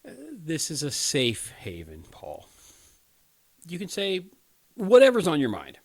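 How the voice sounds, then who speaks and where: An actor playing an earnest, inexperienced young crisis counselor in a radio play, hesitant and pleading. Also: male voice, on the high side, huge pitch swings, measured pace, heavily acted. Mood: earnest, defensive. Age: 20s.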